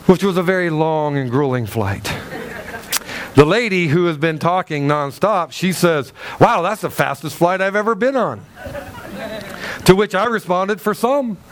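Emphatic high-voiced male preacher. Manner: emphatic, animated, acts out quoted people; loud, carrying voice. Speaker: pastor. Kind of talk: sermon with storytelling.

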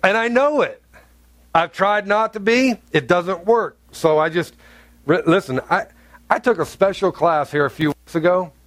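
A pastor from North Carolina preaches to his congregation in a blunt, joking, conversational way, full of asides. His voice is on the higher side for a man, and the sound is close and dry, with little room echo. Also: a bit bright. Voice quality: deep, carrying voice. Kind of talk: sermon. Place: church sanctuary.

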